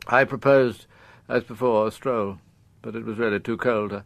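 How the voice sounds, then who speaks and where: A man playing a dignified, middle-aged upper-class father in a radio drama, confessing in formal, halting, precise phrases. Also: on the low side, fairly even intonation, vocal fry, no echo. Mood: rueful, halting.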